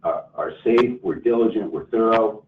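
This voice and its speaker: A man speaking as a company executive, giving careful, measured and halting corporate answers on an earnings call. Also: on the low side. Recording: roomy, distant phone-like line with faint noise.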